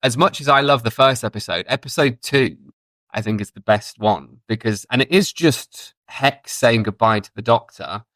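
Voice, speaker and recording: A man's voice: medium pitch, normal speed, fairly bright tone, no echo. A man co-hosting a podcast in casual review chat, talking enthusiastically and thinking aloud as he goes. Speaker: podcast co-host.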